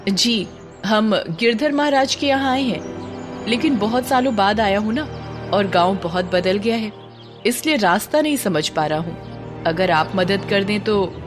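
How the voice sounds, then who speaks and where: A woman's voice: mid-range voice, lively intonation, unhurried, over music, close to an everyday voice. A woman voicing a courteous, eager young man with questions in an audio drama. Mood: polite, eager. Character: polite, eager young village man on a search.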